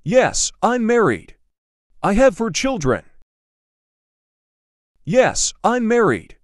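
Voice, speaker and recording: A man's voice: high voice, wildly swooping pitch, slow, no echo, clean studio sound. A man reading a simple English dialogue for learners, carefully enunciated and repeating each line. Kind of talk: slow scripted dialogue reading.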